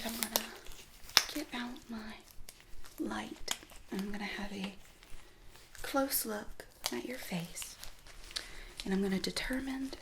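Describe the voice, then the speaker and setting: A woman speaking in a soft, breathy near-whisper, gently reassuring as she plays a dermatologist in an ASMR roleplay, explaining each step. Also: mid-range voice, heavy background noise. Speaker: ASMR performer playing a dermatologist.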